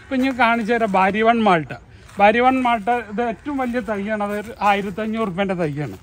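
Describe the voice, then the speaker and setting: A man from Kerala giving a casual tour of his plant nursery, talking in an animated, argumentative way and asking rhetorical questions. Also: high-pitched, fairly quick, a little hiss or hum. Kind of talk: casual garden tour talk.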